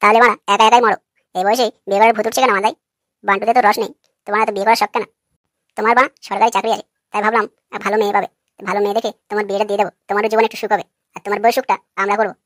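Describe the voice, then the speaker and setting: A woman's voice: mid-range voice, level tone, rapid-fire, a bit bright, no echo, studio quality, lightly acted. A woman playing a brash, scheming young male prankster in a comic cartoon, pushy and foul-mouthed. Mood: brash, mocking.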